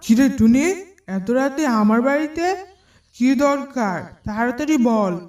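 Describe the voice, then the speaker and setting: A woman's voice: on the high side, animated, a little slow, a little mellow. A woman voicing a rude, selfish bird neighbour in a children's cartoon, curt and scolding. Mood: annoyed, scornful. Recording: roomy, distant sound, faint music.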